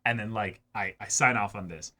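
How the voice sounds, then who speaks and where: A man co-hosting a reaction show, talking through his thoughts in casual commentary with emphatic, drawn-out phrasing and repeats. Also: lowish voice, sharp tone. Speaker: co-host of an anime reaction show.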